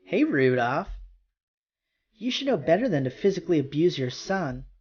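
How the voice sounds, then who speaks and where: A man's voice: fairly high pitch, big pitch swings, normal speed, a little mellow, breathy edge, dull audio. A man voicing a formidable middle-aged elder sister, composed and scolding, in an amateur visual novel reading over music.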